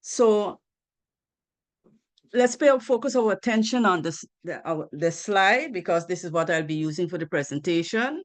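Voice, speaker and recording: A woman's voice: lowish voice, lively intonation, moderate pace. Woman from Guyana giving a presentation. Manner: earnest, repeats key points, asks rhetorical questions. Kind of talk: financial literacy presentation on a group call.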